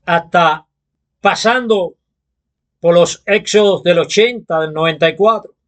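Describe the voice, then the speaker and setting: A Cuban man with a high-pitched voice speaks as a political commentator. He delivers his opinion monologue slowly and deliberately, stressing his points with emphasis. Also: fairly bright tone. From Cuba.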